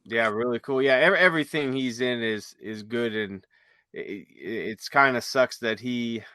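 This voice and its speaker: A man's voice: medium pitch, a little slow, sharp tone, no echo, clean studio sound. A man hosting a podcast in a casual, unscripted, rambling conversational way. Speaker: podcast host.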